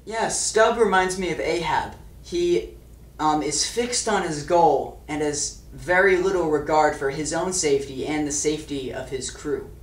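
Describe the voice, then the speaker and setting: Puppet comedy discussion leader acted by a man: easygoing, conversational, hesitant, slightly raised pitch, roomy recording. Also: measured pace, natural voice. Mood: casual, hesitant. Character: awkward, easygoing male book-discussion leader. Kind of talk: comic puppet show dialogue. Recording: echoey room, distant microphone.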